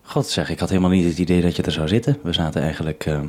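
A man's voice: low-pitched, rapid-fire. A Dutch man from the Netherlands presenting radio, talking casually and solicitously over a two-way link. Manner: solicitous, questioning. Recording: noisy, clicky 1971 radio recording.

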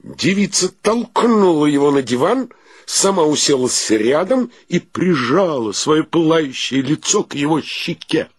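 A man narrating a radio play in an expressive, theatrical, literary storytelling manner. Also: on the high side, unhurried, breathy edge, no room sound.

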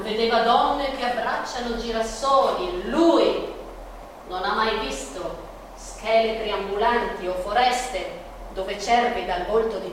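An actress playing a passionate, anguished woman painter in love, in a slow, poetic, declamatory theatrical monologue. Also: a little high, a little hiss or hum, close to an everyday voice. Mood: bitter, poetic. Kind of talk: theatrical monologue with sung Spanish passages. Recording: echoey hall, distant mic, guitar music.

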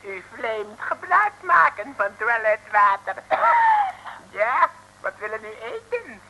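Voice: medium pitch, big pitch swings, slow pace, gravelly, pushed and bright, heavily acted, poor audio. A performer in an old radio play voicing a falsely humble, fawning Chinese mandarin who speaks in broken pidgin phrases. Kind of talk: 1960s radio play acting.

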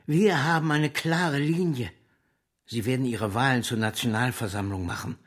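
Radio drama: actor as proud male socialist firebrand, roughened medium voice, fervent, declamatory. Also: medium pitch, moderate pace, close to an everyday voice. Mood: defiant. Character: defiant, idealistic male socialist leader, ardent and proud. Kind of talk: German radio drama acting.

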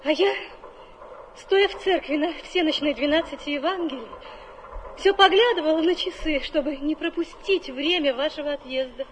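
A woman acting a coquettish stage actress in a radio play, in a very high voice. She speaks playfully and deliberately, mocking herself with tenderness underneath. There is faint noise in the recording. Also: natural voice, low-quality recording. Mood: gentle. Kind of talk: theatrical radio drama dialogue.

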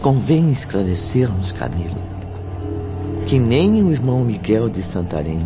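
An actor playing the solemn storyteller of a radio drama, narrating in a grave, deliberate, formal way. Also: male voice, fairly low pitch, big pitch swings. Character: solemn male storyteller of a spiritist tale. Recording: dull audio under music.

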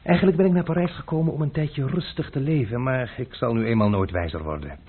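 Wry gallant adventurer, played by an actor; very raspy, on an old phone-thin radio drama recording. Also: male voice, medium pitch, quick pace, phone-quality sound, natural voice. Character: flippant young adventurer, flattering gallant.

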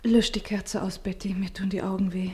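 An actress playing a tired, gentle, fearful wife in a 1950s German radio play, motherly and often hushed, with a breathy voice. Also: medium pitch, flat delivery, moderate pace.

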